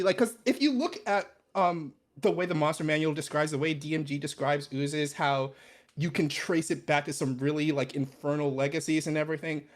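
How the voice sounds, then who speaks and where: A man with a fairly high voice chats as a podcast guest. He explains in a loose, rambling, conversational way, often wandering into asides. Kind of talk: casual chat.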